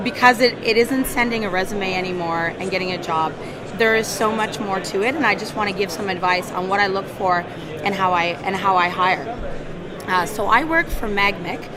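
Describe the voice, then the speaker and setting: A woman's voice: medium pitch, moderate pace, crowd noise. A woman in HR giving an earnest conference talk, with a scratchy voice, often repeating her words. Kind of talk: conference presentation. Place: conference hall.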